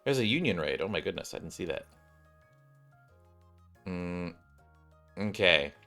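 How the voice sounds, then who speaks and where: Male game streamer, deep register, casual rambling mutter, game music underneath. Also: expressive, measured pace, creaky, sharp tone. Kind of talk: casual gameplay commentary.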